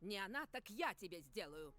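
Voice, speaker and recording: A harried, stern schoolteacher scolds in quick, swooping bursts. Her voice rises and falls sharply as she rattles off complaints. She sounds exasperated and fussy. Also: medium pitch, sing-song, pushed and bright, dry recording. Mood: scolding. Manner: scolding, rapid-fire, exasperated. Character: stern, flustered female schoolteacher, middle-aged. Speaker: game character voice.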